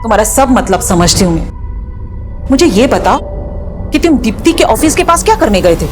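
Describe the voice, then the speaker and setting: An actress playing a fiercely protective grieving mother, a middle-aged woman with an Indian accent, sharp and emotional in a TV drama. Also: on the high side, sing-song, normal speed. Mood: angry, grieving. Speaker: actor. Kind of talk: TV crime drama dialogue. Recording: loud background music under dialogue.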